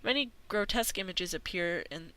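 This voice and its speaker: A woman hosting a gaming video, reading a visual novel aloud haltingly, stumbling and correcting herself. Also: lowish voice, lively intonation, sharp tone.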